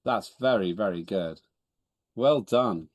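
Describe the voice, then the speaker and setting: A lower-pitched man, Estonian by first language, chairs a video-call meeting in English. He is businesslike and wry, often correcting himself as he goes. The sound is dry and close. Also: takes it slowly, dry recording. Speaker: chairman of parliament.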